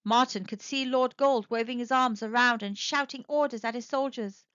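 A woman narrating a children's storybook aloud with expressive, animated storytelling. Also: fairly high pitch, normal speed, dry recording. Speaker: storybook reader. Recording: dry, dull lo-fi sound.

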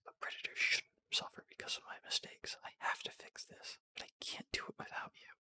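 A reader voicing an earnest, remorseful young female rabbit officer from an animated film script in a breathy whisper. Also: a little fast. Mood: remorseful, pleading.

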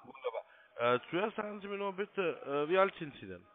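A man's voice: high-pitched, lively intonation, a little fast. A man in his thirties working as a call-centre agent, politely and formally giving step-by-step instructions. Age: 30s. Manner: formal, polite, spells things out. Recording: narrow phone-line sound.

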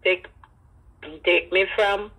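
Husky, slow-talking elderly Jamaican woman. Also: medium pitch, little pitch movement, fairly bright tone, rough recording. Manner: emphatic repetition, Creole phrasing. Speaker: interviewee, grandmother. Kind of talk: personal storytelling in an interview.

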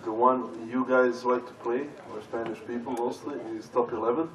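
A man's voice: mid-range voice, steady tone, moderate pace, fairly bright tone. Man, distant in echoey hall. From Serbia. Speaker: conference panelist. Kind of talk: conference panel talk. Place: large conference hall with audience. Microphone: stage microphone heard from far off.

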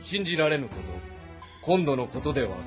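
A man's voice: fairly high pitch, lively intonation, close to an everyday voice. Commanding, brooding middle-aged warlord played by a man. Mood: stern. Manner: authoritative, deliberate, commanding. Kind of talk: dramatic audio-drama acting in Japanese historical game. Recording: voice over background music.

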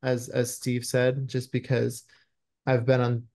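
A man in his thirties speaks on a video call in a conversational interview style. He is effusive and thoughtful, often searching for the right word. His pitch sits in the middle of the male range. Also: completely flat delivery, slow, darkish tone. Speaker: screenwriter being interviewed. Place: indoors in a room at home, on a video call. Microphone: computer video-call microphone.

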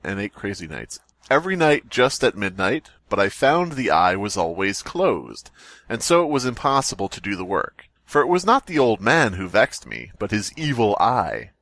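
A man's voice: fairly low pitch, sing-song, moderate pace, fairly bright tone, dry recording. A man hosting a podcast, reading a story aloud theatrically and breaking off into jokey, sweary banter. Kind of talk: dramatic story reading mixed with joking banter.

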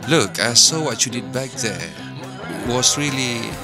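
An actor playing a laid-back, supportive male music manager in an animated comic drama, speaking in a casual, measured way. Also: mid-range voice. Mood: calm, sympathetic. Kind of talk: animated motion comic voice acting.

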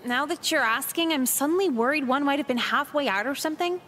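A woman playing a jaded, sardonic, foul-mouthed high school girl in a visual novel, blunt and dismissive. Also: lively intonation, quick pace, a bit bright, slight background noise. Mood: sardonic worry.

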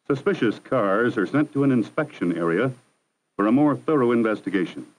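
A man narrating a 1960s educational film in a measured, formal, instructive manner. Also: medium pitch, animated, rough recording. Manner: measured, formal, didactic delivery. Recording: 1960s archival film soundtrack.

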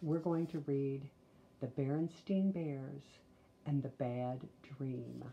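A woman reads a children's storybook aloud, deliberate and expressive, with chatty asides. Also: very deep voice, creaky, lo-fi sound. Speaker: storybook reader. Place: small living room, indoors.

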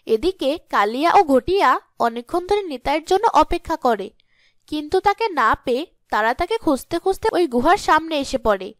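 A woman's voice: high voice, animated, moderate pace, dry recording, studio quality. A woman narrating a scripted cartoon fairy tale as a storyteller.

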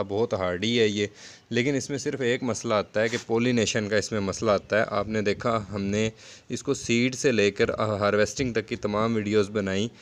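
A man giving a casual, explanatory gardening vlog narration in a conversational, repetitive way. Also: fairly low pitch. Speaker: home gardening video host.

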